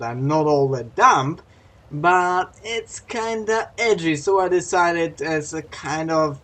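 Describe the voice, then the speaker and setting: A man's voice: on the high side, fairly bright tone. A young man with as a commenting casually on a game replay, rambling and often correcting himself. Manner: chatty, rambling, self-correcting.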